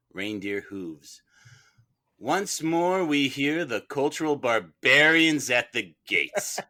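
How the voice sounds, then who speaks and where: A man's voice: mid-range voice, pushed and bright. A man playing defense counsel in a comic mock trial, delivering a theatrical, mock-grave speech. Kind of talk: scripted comic courtroom speech.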